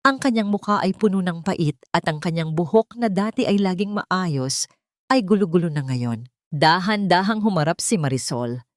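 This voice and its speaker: Low-pitched woman narrating a dramatic story. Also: expressive, moderate pace, no echo, clean studio sound.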